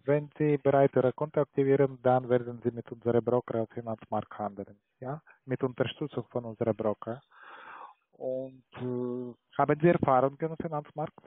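Halting foreign salesman on a tinny phone line. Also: medium pitch, fairly even intonation, dry recording. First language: not German. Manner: halting, repeatedly tagging sentences with "ja". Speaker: call centre trading salesman.

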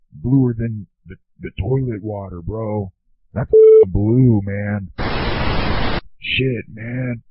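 An actor playing a dim, excitable slacker dude in a comedy skit, rambling in profane stoner bro talk with a husky voice. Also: male voice, mid-range voice, no room sound. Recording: narrow, dry telephone-like sound.

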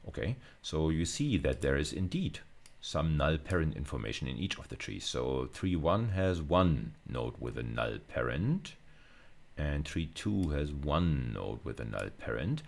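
A man's voice: extremely low pitch, creaky. A middle-aged man giving an informal lecture as a university teacher, explaining things step by step and often rephrasing as he thinks aloud.

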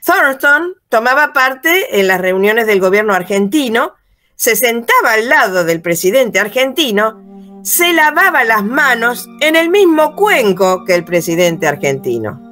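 A woman narrates a radio drama over a video call with a high, lively voice. She tells the story in an animated way. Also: unhurried, soft background music.

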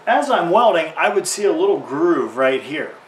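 A man's voice: on the high side, big pitch swings, moderate pace. A man in his thirties giving an informal how-to explanation to camera as an instructor, in plain, simple terms. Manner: explanatory, uses simple analogies. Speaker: welding instructor. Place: garage or workshop beside a tractor. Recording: roomy, distant mic, faint background noise.

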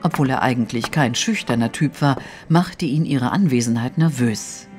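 Guy narrating a story, measured, medium pitch, clear music behind him. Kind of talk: scripted literary narration. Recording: music under the voice.

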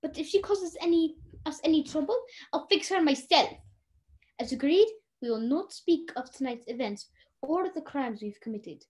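High, animated radio-play villainess played by a young woman, a polished society lady who takes charge and schemes. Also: normal speed. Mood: stern, conspiring. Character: Lady Eleanor, commanding, scheming society lady hiding her crimes.

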